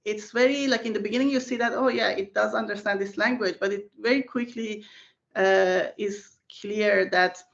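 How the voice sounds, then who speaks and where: A woman's voice: medium pitch, fairly even intonation, normal speed, creaky, dull audio. A woman whose first language is Persian, a research scientist answering interview questions in a thoughtful way with many fillers and restarts, heard over a video call.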